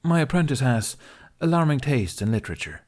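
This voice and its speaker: A narrator, a man, plays a patient male teacher in a science fiction story, pitched up from his narrating voice. He talks politely and conversationally, with a wry, mildly exasperated edge. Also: very animated, fairly quick. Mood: wry, amiable. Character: tall, genial, wryly patient male mentor.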